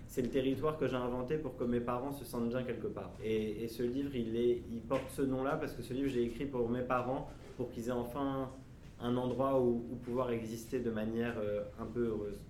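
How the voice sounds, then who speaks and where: A man in his thirties from France speaks at a middle pitch, answering children with gentle, halting phrases and frequent restarts. His voice rings in an echoey room. A faint hiss sits underneath. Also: level tone. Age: 30s. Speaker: author interviewed by schoolchildren. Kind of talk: casual interview answers.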